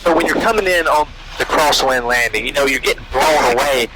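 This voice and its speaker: Over clear engine noise, a man with a medium-pitched voice speaks through an aircraft headset intercom. He is a student pilot with a casual, practical way of talking in the cockpit. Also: fairly quick. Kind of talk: casual cockpit talk and radio calls.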